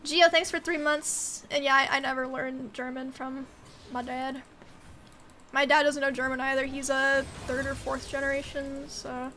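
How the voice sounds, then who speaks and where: A woman's voice: sits high, little pitch movement, moderate pace, sharp tone, slight background noise. A young woman in her twenties whose first language was Mandarin, chatting casually on a game stream, giggling and filling her talk with "like" as she reads chat aloud.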